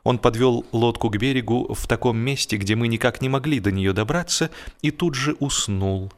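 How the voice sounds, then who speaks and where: A man's voice: mid-range voice, normal speed. A man narrating a humorous literary story in a theatrical manner, stretching key words for emphasis. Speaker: audiobook narrator.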